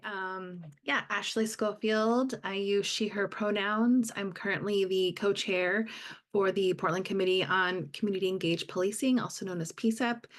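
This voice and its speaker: A woman, the co-chair of a committee, introduces herself at an online meeting in a relaxed, easygoing way. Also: medium pitch.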